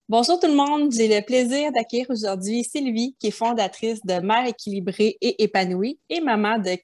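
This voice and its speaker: A woman with a Québécois French accent hosts a podcast in a casual, friendly way. She talks loosely with fillers and keeps the exchange relaxed. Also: medium pitch. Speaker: podcast host.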